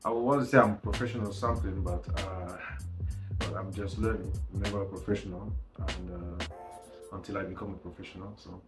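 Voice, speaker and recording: A man giving an informal testimonial in a casual, halting way with many pauses. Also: sits low, fairly even intonation. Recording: echoey room with music over it. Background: music.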